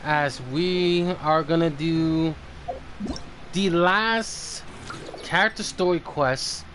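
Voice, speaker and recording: A man hosting a casual game live stream, chatting loosely and thinking aloud as he plays. His voice sits a little high. He speaks slowly, with long stretches between thoughts. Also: a bit bright, a little hiss or hum.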